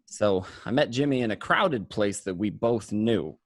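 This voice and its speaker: A man's voice: fairly low pitch, animated, measured pace, no echo, clean studio sound. A man acting an anxious, weary mobster on the run in an amateur radio play, moving between confession and tense dialogue. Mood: reflective. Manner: first-person confessional narration and tense dialogue.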